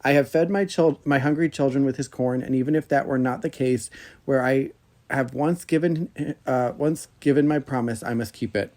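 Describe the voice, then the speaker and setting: A man's voice: mid-range voice, normal speed, a little mellow, natural voice. A man voicing a humble, anxious, honest poor peasant in a storybook, speaking earnestly and formally. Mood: dutiful.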